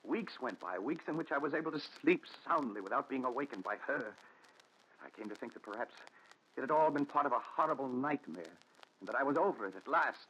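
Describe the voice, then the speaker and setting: An actor playing a haunted, fearful man in a 1940s radio thriller, with an intense, halting, dramatic delivery. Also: medium pitch, animated, a bit bright, husky. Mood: brooding, fearful. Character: haunted, fearful man confessing terrors to his doctor. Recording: muffled, noisy 1940s radio recording.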